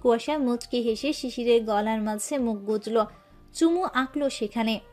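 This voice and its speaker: A woman narrating a scripted romantic love story with an expressive, emotive reading. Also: fairly high pitch, unhurried, faint music behind.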